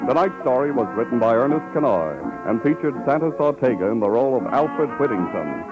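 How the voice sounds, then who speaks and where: American radio announcer over loud music. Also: male voice, medium pitch, animated, brisk. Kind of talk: 1950s radio announcing, scripted credits. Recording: dull vintage radio, loud music behind. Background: loud brass and timpani theme music.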